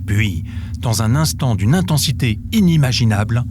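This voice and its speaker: A man's voice: mid-range voice, lively intonation, normal speed, noisy background, close to an everyday voice. A man acting a wry history teacher who narrates the ages in a dramatic, grandiose manner. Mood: solemn, awed. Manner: theatrical, grandiose with wry asides.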